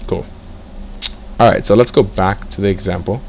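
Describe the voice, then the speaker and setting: A young man teaching chess in a casual way, reading out moves and breaking into excited exclamations as he works through the position. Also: low-pitched, moderate pace, gravelly, a little hiss or hum. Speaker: chess tutor. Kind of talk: casual screen-share lesson, thinking aloud.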